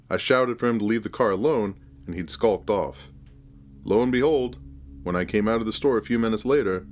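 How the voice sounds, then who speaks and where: A man narrating a scripted first-person horror story in a measured, deliberate storytelling manner. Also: on the low side, slight background noise.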